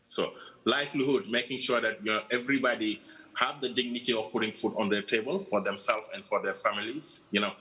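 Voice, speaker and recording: Male guest, low but bright-toned, earnest and reflective. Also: steady tone, fairly quick, low-quality recording. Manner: earnest, reflective, frequent "you know". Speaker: guest from a changemaker organisation. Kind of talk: interview answers.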